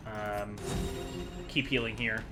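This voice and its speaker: A man's voice: fairly low pitch, steady tone, takes it slowly, music in the background. A man giving casual, rambling commentary as the host of a gaming video, chatty and digressive as he thinks aloud.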